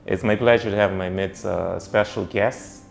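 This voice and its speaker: A man's voice: low voice, steady tone, normal speed, creaky, soft background music. A man hosting an online interview show, introducing his guest and putting questions in a conversational way. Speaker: host, interviewer. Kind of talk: interview on a YouTube channel.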